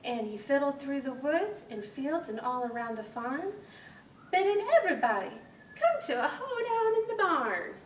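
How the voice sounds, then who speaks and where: A woman in her thirties reading a rhyming children's story aloud with an expressive, rhythmic storytelling delivery. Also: high voice. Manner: animated, deliberate, rhythmic delivery of rhyming verse. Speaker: librarian reading a picture book aloud. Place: small library children's corner, indoors. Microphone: camera a few metres away. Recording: echoey room, distant mic, faint hiss.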